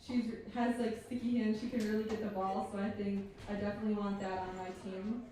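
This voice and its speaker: A teenage female high school athlete answering questions as a guest in a casual interview. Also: level tone, a little fast. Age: teens. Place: pub stage, live interview. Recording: echoey pub, distant mic, loud background noise.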